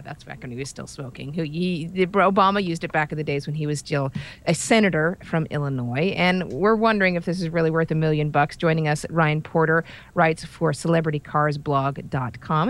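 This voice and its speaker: A woman with a fairly low voice hosts a morning radio show. She speaks as an interviewer, putting questions to a guest and introducing segments. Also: a bit bright.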